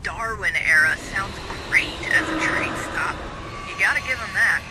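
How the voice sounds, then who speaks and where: An actor playing a keen, wry guide who explains and advises a Marine squad in a video game. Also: male voice, high-pitched, sing-song, measured pace, background noise. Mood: wry advising.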